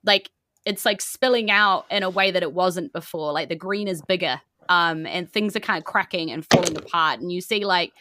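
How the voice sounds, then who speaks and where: A woman's voice: on the low side, moderate pace, pushed and bright, a little hiss or hum. A woman improvising narration as the game master of a tabletop roleplaying game, in an informal way.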